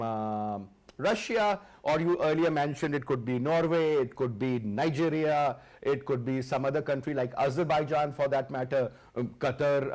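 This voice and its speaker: A man hosting a televised interview, steering the conversation with emphatic, repeated phrases. His voice sits at an ordinary middle pitch, and he leans into his questions. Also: a little mellow. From Pakistan. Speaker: TV host. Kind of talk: interviewing a guest.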